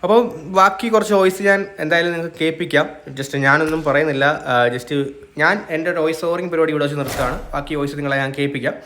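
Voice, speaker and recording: A man's voice: a little high, fast, sharp tone. A man with a Malayali accent giving casual voice-over commentary as host of a gaming video.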